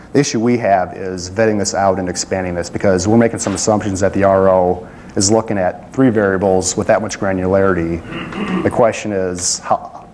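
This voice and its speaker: A man's voice: sits low, darkish tone, a little hiss or hum. A man presenting a technical project at a conference and taking questions, talking casually with many fillers and self-deprecating asides. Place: conference room.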